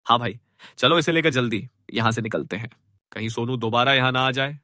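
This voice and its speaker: A man's voice: mid-range voice, a little fast, sharp tone, no room sound, clean studio sound, close to an everyday voice. A man voicing a gullible, greedy village labourer in a cartoon story, with an exaggerated, exclaiming delivery. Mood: eager. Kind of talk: Hindi cartoon moral story dialogue.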